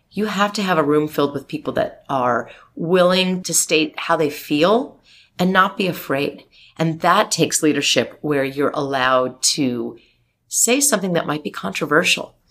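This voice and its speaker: A woman's voice: low voice, a bit bright. A woman in her forties, a marketing executive, talking in an interview in an emphatic, outspoken and optimistic way. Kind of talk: interview conversation.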